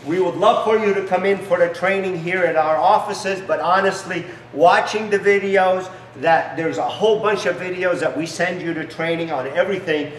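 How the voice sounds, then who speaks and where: Middle-aged non-native male founder, eager sales pitch, slightly high voice, recorded off-mic in a roomy garage. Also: moderate pace, few pauses, slight background noise. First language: not English. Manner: enthusiastic salesy pitch with broken phrasing. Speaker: business founder. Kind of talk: promotional demonstration. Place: large garage workshop. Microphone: camera mic from a few steps away. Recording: echoey garage, distant mic.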